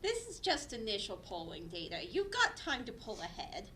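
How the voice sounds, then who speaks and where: A woman's voice: on the high side, huge pitch swings, moderate pace, a bit bright. A woman playing a mayor's frank, dry-witted secretary with pointed delivery in a comedic table read. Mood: dry, patient. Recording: faint background noise.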